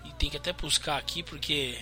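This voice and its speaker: A Brazilian man with a high, very bright voice co-hosts a racing talk show. He reels off finishing positions and lap counts, then jokes around with his co-hosts in a relaxed way. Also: fairly quick. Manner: reels off race results, then banters. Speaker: race show co-host. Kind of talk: casual podcast chat.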